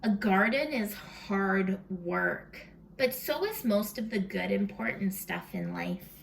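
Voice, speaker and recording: A woman hosting a read-aloud for young children, expressive and full of questions, sometimes dropping into a whisper, recorded at home. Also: mid-range voice, takes it slowly, gravelly, a little hiss or hum, rough recording. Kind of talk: animated children's storybook read-aloud with chat to the listeners.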